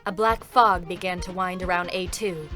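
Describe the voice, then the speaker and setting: A woman's voice: fairly low pitch, fairly even intonation, normal speed, pushed and bright, soft background music. A woman giving composed scripted narration at a live reading.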